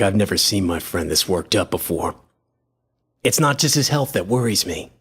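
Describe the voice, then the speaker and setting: A man's voice: fairly low pitch, breathy edge. A man playing an anxious, loyal friend in a radio horror drama, with earnest, worried, dramatic delivery. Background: faint music.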